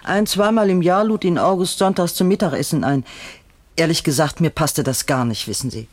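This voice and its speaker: Chatty older housewife neighbour. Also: female voice, deep voice, moderate pace, darkish tone, no echo. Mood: chatty, fretful. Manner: chatty, homely, rambling. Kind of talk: German radio crime drama acting.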